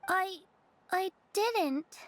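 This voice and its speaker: A meek little girl in a video game, with a very high, small voice. She is polite and hesitant, and takes her words very slowly. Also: lively intonation, a bit bright, no echo, heavily acted. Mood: timid, flustered.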